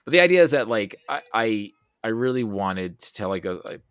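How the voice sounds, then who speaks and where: Deep-voiced man, rambling and emphatic. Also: very animated, fairly quick, fairly bright tone, clean tone, no room sound, studio quality. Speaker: podcast guest, writer. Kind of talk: casual podcast discussion.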